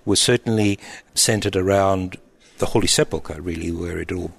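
A middle-aged man, an abbot speaking in an interview in a thoughtful, halting way with frequent restarts. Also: low voice, steady tone, vocal fry. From Egypt, raised in Australia. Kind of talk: reflective interview answers.

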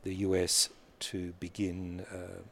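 A middle-aged man with an Australian accent, an abbot, speaking thoughtfully in an interview with many pauses and fillers. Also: deep voice, completely flat delivery, gravelly. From born in Egypt, raised in Australia. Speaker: abbot of a monastery. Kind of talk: conversational interview. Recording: faint background noise.

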